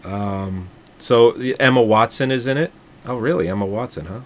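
A man talks films in a loose, casual podcast chat, with a fairly low voice. He jokes crudely and breaks into laughter often. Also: normal speed. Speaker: podcast co-host.

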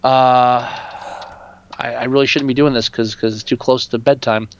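A man's voice: medium pitch, fairly even intonation, normal speed, highs cut off, slight background noise. A man co-hosting a podcast, ranting in exasperated, heavily profane and opinionated casual talk. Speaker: podcast co-host. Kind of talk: casual, opinionated film chat.

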